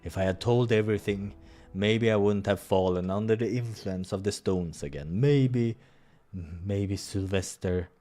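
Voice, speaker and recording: A big fallen bear acted out by a man from a visual novel, heavy with shame. He pleads gently and haltingly in a low, slow voice. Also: soft background music, close to an everyday voice. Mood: remorseful, pleading.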